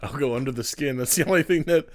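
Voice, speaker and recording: A man's voice: fairly high pitch, fast talker. A man co-hosting a film podcast in casual, joking chat, laughing often and swearing freely. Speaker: podcast co-host.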